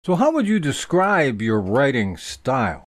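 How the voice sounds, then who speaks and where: A man interviewing an author, asking his questions with a lively, questioning lilt. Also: mid-range voice, unhurried, no room sound, clean studio sound.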